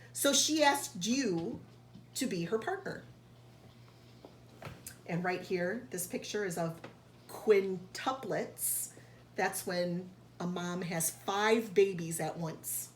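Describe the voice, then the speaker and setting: A woman reads a storybook to young children in the manner of a teacher, at a slow pace. She stops for short explanations and sounds out important words with care. Her voice has a medium pitch and rings in an echoey room, with faint noise behind it. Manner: didactic, enunciates and sounds out key words for children. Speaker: teacher reading a picture book aloud to young children. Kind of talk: read-aloud storytelling with explanatory asides.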